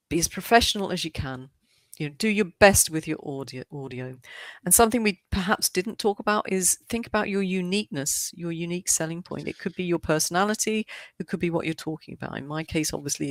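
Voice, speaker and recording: A woman's voice: fairly low pitch, fairly bright tone, no room sound. A middle-aged woman, a native English speaker living in Switzerland, chatting as a podcast guest in an eager, rambling way with many restarts. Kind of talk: casual interview chat.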